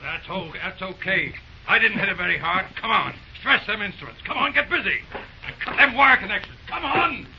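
An actor playing a rough, bossy gangster in an old-time radio drama, barking urgent orders. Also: male voice, sits high, very animated, moderate pace, noisy background, heavily acted. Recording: muffled old radio recording.

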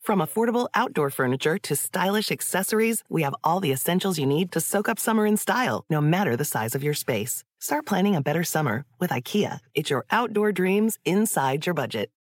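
A woman's voice: on the low side, animated, fairly quick, hardly any pauses, no room sound, clean studio sound. A woman reading a scripted commercial voice-over. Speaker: advert voice-over.

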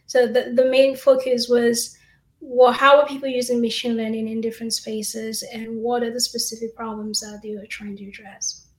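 A woman from Cameroon in her thirties answers interview questions in a steady, explanatory way. Her voice sits fairly high and stays level in pitch. The recording has a noticeable room echo. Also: normal speed. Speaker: assistant professor, guest.

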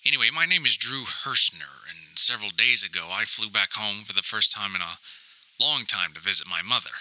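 Male actor as lost anxious son, wry, wordy, mock-dramatic, very bright tense tone, slightly low pitch, comic audio diary. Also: expressive, a little fast, no echo, rough recording. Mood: defensive, wry. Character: anxious, wry grown son lost in hoarder mother's house.

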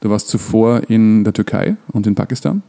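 A man hosting a casual podcast interview, phrasing his questions in a hesitant, unhurried way. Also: on the low side, gravelly, mellow. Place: living room in a flat.

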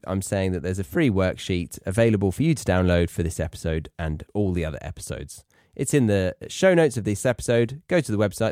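Male British podcast host, low-pitched and animated, wry conversational teacher, close dry sound. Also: dry recording. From Britain. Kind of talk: chatty educational podcast monologue.

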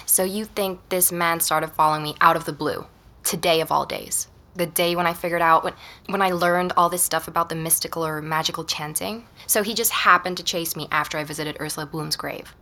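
Bright-voiced anxious young woman. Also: lowish voice, fairly even intonation, fairly quick. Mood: subdued, uneasy. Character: anxious young woman investigator recounting her ordeal. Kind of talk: audio drama acting. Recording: faint background noise.

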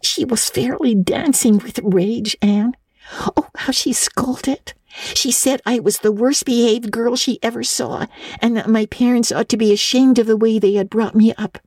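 Loyal young storybook girl, acted by a woman: high-pitched, hushed, breathy, excitable and quick to indignation. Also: dry recording. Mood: hushed, scandalised. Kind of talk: dramatic audiobook reading of classic novel.

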